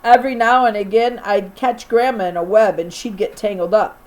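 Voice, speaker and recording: A woman teacher reading a children's novel aloud in an expressive storytelling style for a young class. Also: mid-range voice, moderate pace, poor audio.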